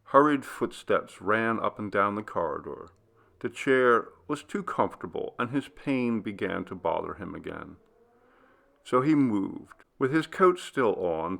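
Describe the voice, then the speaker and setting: A man narrating a literary ghost story in a measured, deliberate storytelling manner. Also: fairly low pitch, gravelly, fairly bright tone. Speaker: audiobook reader.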